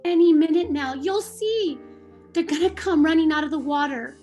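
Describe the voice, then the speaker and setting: A woman's voice: high voice, moderate pace, a little mellow, faint music behind, lightly acted. A woman playing a hopeful little girl in a dramatic table read of a stage play. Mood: hopeful, insistent.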